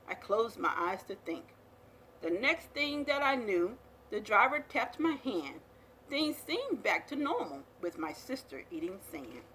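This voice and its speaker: A woman reading a children's picture book aloud as a storyteller, with expressive, dramatic delivery. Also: on the high side, a little hiss or hum, rough recording.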